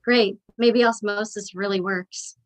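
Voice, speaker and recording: A woman's voice: mid-range voice, steady tone, measured pace, close to an everyday voice. A woman playing a sullen, sarcastic seventeen-year-old daughter in a staged reading of a drama, dry and grudging.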